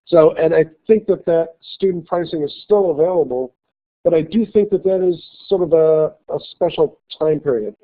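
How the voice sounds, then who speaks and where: A male college instructor giving a classroom lecture with a slight cold, talking haltingly with many fillers and restarts. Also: fairly high pitch. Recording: tinny phone-like lecture recording.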